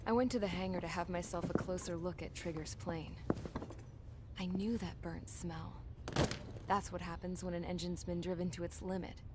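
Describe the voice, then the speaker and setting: A woman's voice: steady tone, normal speed, natural voice. A woman playing a wry, skeptical young female aircraft mechanic, narrating a video game story in a matter-of-fact way. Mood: wry, resolved. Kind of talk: scripted first-person narration and game dialogue. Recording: loud rumbling background noise.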